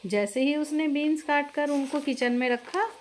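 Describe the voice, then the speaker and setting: A woman's voice: high-pitched, normal speed, slight background noise. A woman reading a scripted family story as its narrator.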